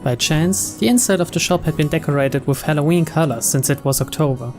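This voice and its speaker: Male narrator, fairly high register, reading visual novel prose over soft guitar music. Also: a little fast. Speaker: let's play narrator reading game text aloud. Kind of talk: scripted narration.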